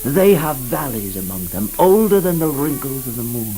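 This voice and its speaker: A man's voice: medium pitch, very animated, moderate pace, dark tone, poor audio. A man playing a lovelorn king who declaims his dream in a grandiose, yearning 1960s radio drama monologue. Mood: yearning, exalted. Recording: 1960s radio production with music behind.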